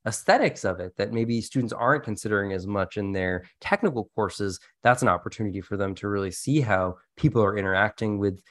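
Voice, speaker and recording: Low-voiced man explaining earnestly. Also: lively intonation, fairly quick, few pauses. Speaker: podcast co-host and educator. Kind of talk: conversational teaching-tips discussion.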